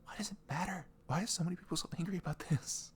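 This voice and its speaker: A man playing a sweet, caring boyfriend in an intimate ASMR roleplay, speaking softly and tenderly in a velvety, often breathy voice with earnest, rambling reassurance. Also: high voice, rapid-fire. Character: sweet, caring, devoted young boyfriend talking in bed.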